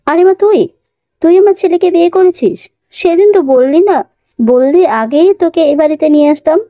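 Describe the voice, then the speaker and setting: Audio-story mother-in-law , acted by a woman: middle-aged, motherly, bossy, mellow high voice. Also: animated, normal speed. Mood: delighted surprise. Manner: motherly, commanding.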